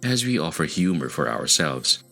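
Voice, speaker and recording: A man narrating a guided meditation in a measured, deliberate way, pausing between phrases. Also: very deep voice, sing-song, creaky, sharp tone. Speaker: meditation podcast host. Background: music under the voice.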